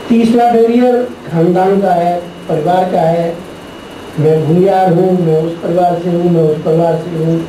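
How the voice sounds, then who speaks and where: A middle-aged man giving a spiritual lecture to a class, teaching deliberately and posing rhetorical questions. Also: high-pitched, dark tone. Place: large echoey classroom. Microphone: distant room mic. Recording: very echoey, distant, noisy room recording.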